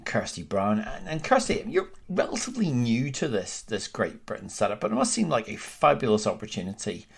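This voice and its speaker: A man's voice: on the low side, gravelly, husky. A Scottish man hosting a sports podcast, asking rambling questions with many restarts. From Scotland. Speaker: podcast host. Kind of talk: conversational sports interview.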